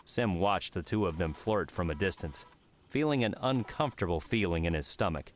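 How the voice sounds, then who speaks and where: A man's voice: deep voice, animated, normal speed, a little hiss or hum. A man narrating an audiobook, reading scripted fiction.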